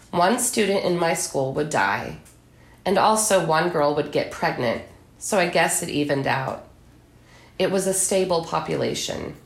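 Female author, American, low-pitched voice, recorded with noticeable room echo. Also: gravelly. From southern Illinois, United States. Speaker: author, podcast guest. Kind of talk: literary reading and interview talk.

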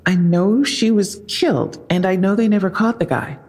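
A wary female police officer, with a husky voice full of fry. She is terse and defensive, pushing back on questions in an audio drama. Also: medium pitch, expressive, normal speed, a little mellow, big-room sound. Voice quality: husky, creaky.

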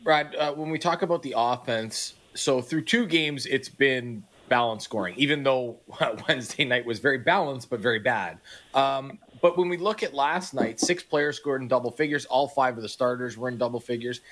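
A man's voice: medium pitch, normal speed, a bit bright. Man asking long sports radio questions. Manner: long, detailed stat-filled questions.

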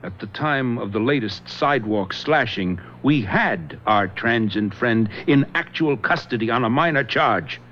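An actor playing a shrewd police lieutenant in a radio drama, calm, probing and authoritative. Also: male voice, mid-range voice, unhurried, few pauses. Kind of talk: 1970s radio drama acting. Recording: dull old radio broadcast recording, faint hiss.

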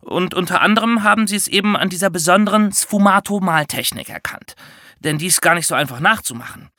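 A woman's voice: on the low side, fast, pushed and bright, no echo, clean studio sound. A woman co-hosting an educational podcast for children, explaining step by step in a conversational way.

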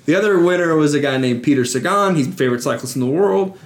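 Guy on a chatty podcast, kinda high voice, gets excited about sports. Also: fast, background noise. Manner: enthusiastic, explains cycling. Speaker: podcast co-host. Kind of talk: casual chat.

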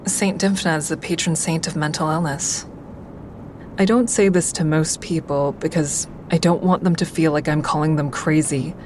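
A woman acting in an audio drama as a calm, wry crisis-call listener who narrates her own thoughts in a measured, coaxing, frank way. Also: low voice, noisy background, close to an everyday voice. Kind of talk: full-cast audio drama, first-person narration and dialogue.

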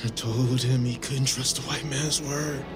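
Actor as an earnest freed man, high-pitched. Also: fairly even intonation, measured pace, close to an everyday voice. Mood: troubled, indignant. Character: earnest freed man, loyal and indignant, 1860s South. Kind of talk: audio drama dialogue. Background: music.

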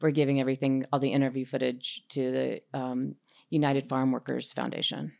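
A woman talking as a filmmaker guest in a casual panel discussion, in a halting, hedging way. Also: very deep voice, little pitch movement, creaky, warm tone.

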